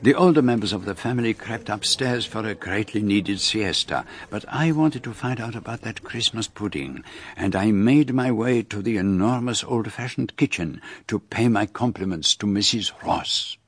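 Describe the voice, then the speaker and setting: An actor playing a fastidious gentleman detective with a Belgian French accent, narrating his story formally in a radio drama. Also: fairly low pitch, slightly rough. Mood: hushed, observant. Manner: precise, formal, measured. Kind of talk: scripted first-person narration in radio drama.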